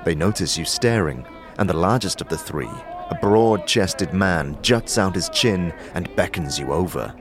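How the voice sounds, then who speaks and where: A man's voice: sits low, lively intonation, unhurried, few pauses. A man reading scripted second-person narration for a fantasy audio drama. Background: music.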